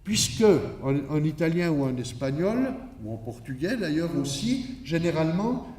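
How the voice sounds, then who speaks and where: A man's voice: fairly high pitch, big pitch swings, normal speed, a little mellow. A man from Switzerland giving an academic conference lecture in a didactic, emphatic manner with rhetorical asides. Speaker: academic keynote speaker. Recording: echoey room, distant microphone.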